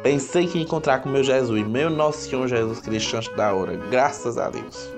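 A woman's voice: a bass voice, moderate pace, music in the background. A woman playing a cowardly, pious village man in a radio drama, exclamatory and repetitive. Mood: relieved.

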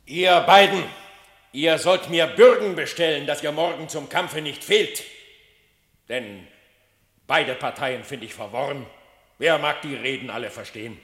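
A man's voice: on the high side, measured pace, fairly bright tone, husky. An actor playing a haughty, amused king of animals in a classic radio play, declaiming verse in a commanding, theatrical way. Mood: imperious. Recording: long reverberant hall.

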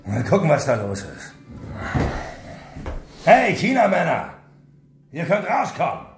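Blunt, menacing biker, slow and fairly high, music behind. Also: male voice, huge pitch swings, echoey room. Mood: threatening. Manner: blunt, belligerent, shouting. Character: hungry, aggressive Danish biker thug. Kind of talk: radio crime drama acting.

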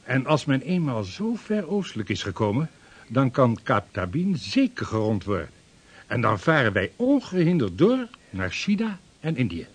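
A man's voice: mid-range voice, very animated, normal speed, slightly rough. An actor playing an earnest, modest seasoned voyager making his case in a period radio drama. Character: earnest seasoned voyager and trader, adult man.

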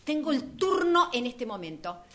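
A high, forceful woman's voice with a Rioplatense accent, acting an impatient neighbour in a radio drama. She is demanding and exasperated, quick to snap and swear. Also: very animated, full character voice. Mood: furious, exasperated. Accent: Rioplatense Argentine. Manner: demanding, shouting, foul-mouthed. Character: furious, impatient woman with a leg in plaster. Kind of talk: amateur radio drama exercise.

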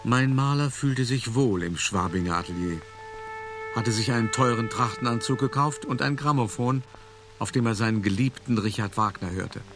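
A man's voice: mid-range voice, normal speed, music in the background, close to an everyday voice. An actor playing a shrewd, wry art dealer who tells his own story in a radio play, in an articulate and emphatic storytelling manner. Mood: wry, amused. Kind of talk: first-person radio play narration.

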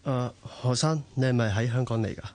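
A man's voice: medium pitch, a little fast, darkish tone, natural voice. A male actor playing an upright, dutiful heir to a family business in a radio drama, earnest, with a Hong Kong Cantonese accent. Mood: puzzled, earnest.

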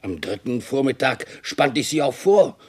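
Husky, battered, loyal farmhand. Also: male voice, medium pitch, big pitch swings, moderate pace. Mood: earnest recounting. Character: battered, loyal farmhand, sheepish but stubbornly honest. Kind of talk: German radio drama acting.

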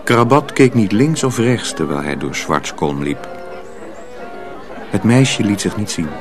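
A man narrating a dark folk tale in a radio drama, in an expressive storytelling voice. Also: on the low side, a little slow. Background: music, clearly heard.

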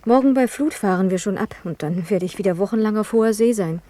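A woman's voice: mid-range voice, fast talker, warm tone, close to an everyday voice. Actress as spirited, adventurous young lady. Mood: eager, carefree. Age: young adult. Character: spirited, naive young Spanish gentlewoman craving adventure. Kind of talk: 1970s German radio play acting.

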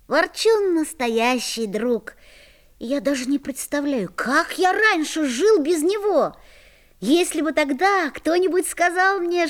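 A woman voicing a young boy who earnestly narrates a storybook audio play. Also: high voice. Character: young boy narrating, friend of a forest spirit. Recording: faint music behind.

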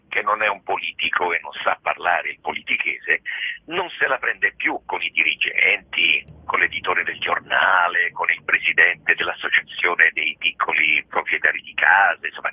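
A man speaks in a deep, heavily rasping voice over a narrow, dry phone connection. He explains at length in a conversational interview style, emphatic and discursive, repeating phrases and posing rhetorical questions. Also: no room sound. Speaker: theatre practitioner being interviewed. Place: phone call into a radio programme. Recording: muffled, thin telephone line on radio.

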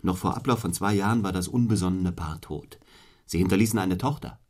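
An actor playing a cold, stern, fiercely ambitious clergyman in a radio play, speaking in a formal, deliberate, rhetorical way. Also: low voice, darkish tone. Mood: measured storytelling. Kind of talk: German radio play acting.

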